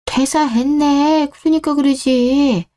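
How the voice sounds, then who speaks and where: A woman's voice: sits high, fairly even intonation, takes it slowly, warm tone, voice pushed up. A woman playing a caring, perceptive older father in a scripted radio drama. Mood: probing, reasoning.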